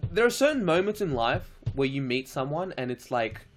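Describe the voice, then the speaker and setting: A man's voice: on the high side, very animated, normal speed, a little hiss or hum. Laid-back young Australian man. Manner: laid-back, slangy, swears casually. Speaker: live streamer. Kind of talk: casual live-stream chat reading viewer questions.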